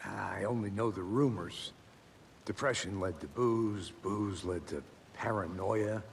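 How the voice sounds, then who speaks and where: Deep, gravelly, world-weary male agent. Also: measured pace, a little hiss or hum. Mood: weary, matter-of-fact. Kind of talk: video game dialogue.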